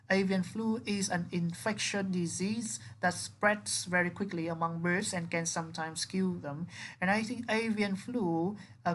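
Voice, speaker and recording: A woman with a slightly low voice speaks as an English teacher. She explains vocabulary in an instructive way, restating words and offering synonyms as she goes.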